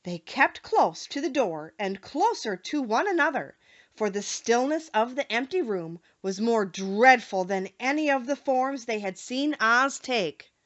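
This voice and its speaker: A woman reads scripted storybook narration with a very lively, swooping delivery. Her voice is fairly high and carefully phrased, and the recording is slightly dull. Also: a little slow. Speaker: audiobook narrator.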